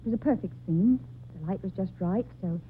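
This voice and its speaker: A woman's voice: medium pitch, a little fast, natural voice. An actress playing an anxious, impatient young woman painter in an old-time radio drama, theatrical and urgent. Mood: troubled, anxious. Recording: tinny, noisy old radio recording.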